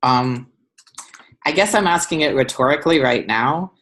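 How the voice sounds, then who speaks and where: A young man coaches a student through her essay on a video call. He talks at an ordinary middle pitch, pausing with ums and restarting sentences as he thinks. The sound comes through a webcam mic in a small room. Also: lively intonation, fairly bright tone. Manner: thoughtful, many ums and restarts. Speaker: writing tutor. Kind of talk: one-on-one essay feedback over video call.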